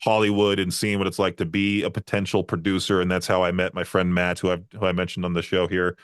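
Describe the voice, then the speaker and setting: A man's voice: low voice, flat delivery, fast talker, smooth, dry recording, studio quality. Rambling man hosting a podcast. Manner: rambling storyteller, frequent fillers and laughs. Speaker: podcast host. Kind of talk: casual interview chat.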